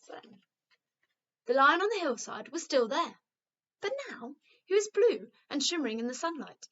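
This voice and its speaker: A woman teacher reading a children's story aloud in an expressive narrating style. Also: high-pitched, normal speed, muffled recording.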